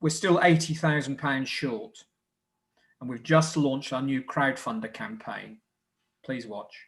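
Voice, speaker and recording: A man with a mid-range voice presents a community group's evening event. He speaks as a leader, in an earnest and measured manner, partly following prepared remarks. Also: mid-range voice, fairly bright tone. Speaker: scout group leader hosting a live presentation. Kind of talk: semi-scripted event presenting.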